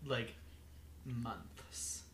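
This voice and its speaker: Bright-voiced young man, casual podcast co-host. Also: mid-range voice, very slow, a little hiss or hum. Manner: loose, swears a lot, rambling with many fillers.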